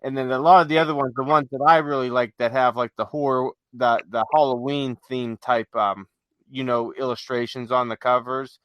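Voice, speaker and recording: Bright, crisp-voiced man on a podcast. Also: medium pitch, fairly even intonation, normal speed, no room sound, low-quality recording. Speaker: podcast co-host. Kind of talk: casual chat.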